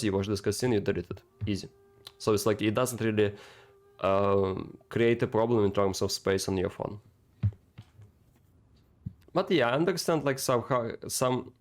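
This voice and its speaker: Male gaming commentator, lowish pitch, off-the-cuff and rambling, halting repeats, emphatic questions to the viewer. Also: a little mellow. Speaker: gaming content creator reacting to game cutscenes. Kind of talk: casual rambling game commentary.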